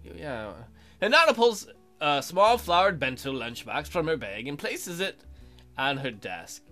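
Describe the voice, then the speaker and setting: Male let's play host, lively swinging pitch, sarcastic asides between read-aloud text, music behind. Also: unhurried. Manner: joking, sarcastic, swearing. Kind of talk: casual game commentary, reading text aloud.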